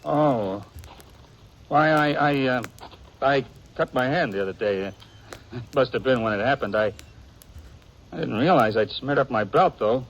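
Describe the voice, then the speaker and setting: Male actor as testy, secretive cowboy, guarded speech, middle pitch, 1950s radio western, noisy recording. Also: unhurried, pauses often, dull audio, lightly acted. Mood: evasive, nervous. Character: cagey, irritable young cowboy hiding a secret.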